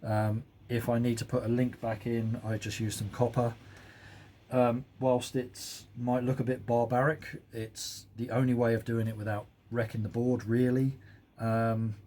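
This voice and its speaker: A man's voice: mid-range voice, flat delivery, unhurried, dark tone, slight background noise. A man giving casual, hands-on workshop commentary in a matter-of-fact, explanatory way. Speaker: host, audio repair hobbyist or technician.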